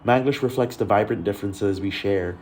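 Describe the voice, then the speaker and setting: Low-voiced man narrating, earnest and reflective. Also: fairly quick, darkish tone, slight background noise. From Malaysia. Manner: earnest, introspective. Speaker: documentary narrator.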